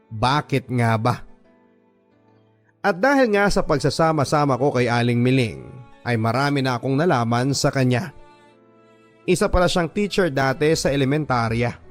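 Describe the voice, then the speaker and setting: A man with a Filipino accent reads a first-person story as a radio drama narrator, earnest and sentimental, with deliberate phrasing. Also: medium pitch. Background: background music.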